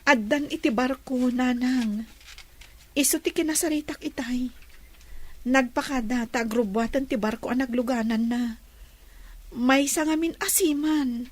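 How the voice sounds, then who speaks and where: High-pitched young Ilocano sweetheart. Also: female voice, measured pace, pauses often. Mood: nervous. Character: young woman, anxious secretive daughter and sweetheart. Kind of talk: radio comedy-drama acting.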